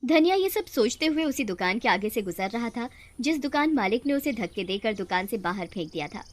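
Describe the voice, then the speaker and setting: A woman's voice: on the high side, expressive, brisk. A woman narrating a scripted moral story as a storyteller.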